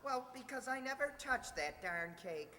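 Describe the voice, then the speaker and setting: A woman's voice: sits high, animated, moderate pace, sharp tone. A woman playing a cheeky young boy in an old-time radio comedy. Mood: protesting. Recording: echoey hall stage recording.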